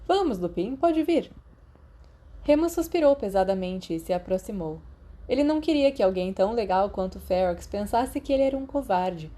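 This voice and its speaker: Mellow-voiced Brazilian woman narrating fiction. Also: mid-range voice, animated, brisk. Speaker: podfic narrator. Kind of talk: scripted audiobook narration.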